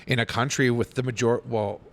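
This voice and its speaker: A man in his forties hosts the interview. He talks quickly in a mid-range voice. He chats casually and often cuts in with short reactions. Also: medium pitch, fairly even intonation, fairly bright tone. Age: 40s. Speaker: podcast host.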